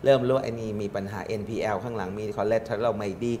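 A middle-aged man, a studio talk show guest, explaining with lively analogies and rising emphasis. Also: fairly low pitch, brisk, a little hiss or hum. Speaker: guest, trade chamber secretary.

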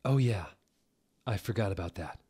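A man voicing a weepy, depressed goth woman professor, plaintive and halting, in a fan fiction reading. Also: fairly low pitch, dark tone, breathy edge. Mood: sad.

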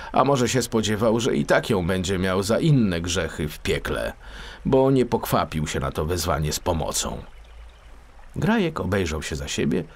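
A man narrating a scripted children's story expressively, as a storyteller. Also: low-pitched.

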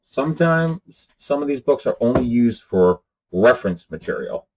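A man's voice: mid-range voice, big pitch swings, moderate pace, slight background noise. A man in his forties talking casually to camera from a small room lined with bookshelves, rambling earnestly with lots of fillers. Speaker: channel host.

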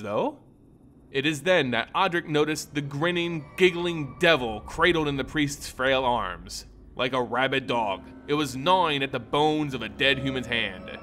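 A man's voice: a little high, a bit bright, slight background noise. A man narrating a scripted fantasy story in a dramatic style. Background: music.

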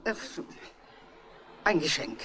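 An actor playing a sharp-tongued, resentful poor village mother, blunt and bossy, in a 1960s radio crime drama. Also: slow. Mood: bossy, irritated.

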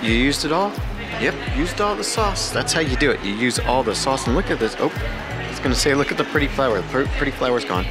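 Chatty man with lively pitch. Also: fast talker, over loud music. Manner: enthusiastic, chatty, narrates while eating. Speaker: vlog host. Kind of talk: casual food vlog chat. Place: indoor restaurant, then outdoor beach. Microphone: handheld camera held close.

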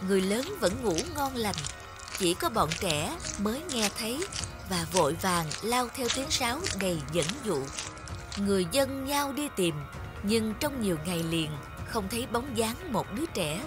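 A woman voicing the narration of an animated fairy tale in a storytelling style. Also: mid-range voice, moderate pace, lightly acted. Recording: music bed under the voice.

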